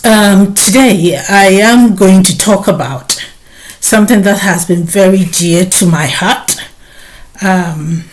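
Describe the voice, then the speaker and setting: Slow-speaking woman from Ghana, hesitant. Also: medium pitch. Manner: slow, hesitant, many filler pauses. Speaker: nurse and vlog host. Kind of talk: personal talk to camera.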